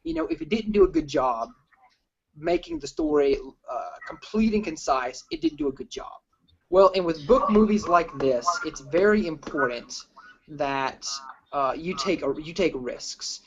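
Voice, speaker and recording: A man hosting a casual group film discussion, talking in a halting, rambling way. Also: fairly high pitch, very animated, creaky, slight background noise. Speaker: film review host. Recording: dull lo-fi sound.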